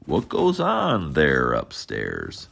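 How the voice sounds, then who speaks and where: A man voicing a big, gentle, kind-hearted male moose in a mild, good-natured way. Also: a bass voice, wildly swooping pitch, extremely slow, vocal fry, slight background noise, exaggerated voice.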